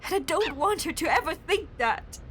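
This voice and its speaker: A woman's voice: very high voice, lively intonation, moderate pace, fairly bright tone, slight background noise. An actress playing a volatile, obsessive young woman in a radio drama, with a dramatic, defensive, sardonic way of talking. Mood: hurt, bitter.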